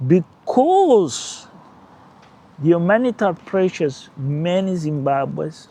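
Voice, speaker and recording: Guy with portuguese-type accent, english not his first language, talks politics all fired up, voice a bit high for a man. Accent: Portuguese-speaking. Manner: animated, emphatic, laughs at own points. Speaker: channel host. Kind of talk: casual political commentary.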